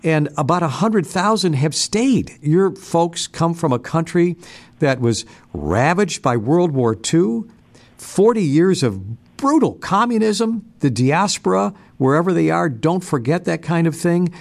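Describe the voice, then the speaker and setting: A man hosting a radio talk show, asking questions in a measured, conversational way with some stumbles. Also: fairly high pitch, expressive, gravelly, a little mellow.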